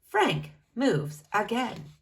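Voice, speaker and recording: Slow, very animated woman reading to children. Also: fairly high pitch. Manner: deliberate, expressive, addressing young listeners. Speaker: storybook reader for children. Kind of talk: children's read-aloud narration.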